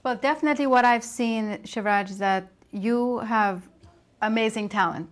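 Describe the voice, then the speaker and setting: A woman's voice: on the high side, moderate pace. A woman interviewing a guest in a conversational style in a TV studio.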